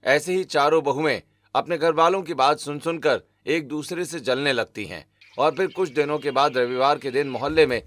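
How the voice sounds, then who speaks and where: A man narrating a cartoon family story in a steady, explanatory way. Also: on the high side, normal speed.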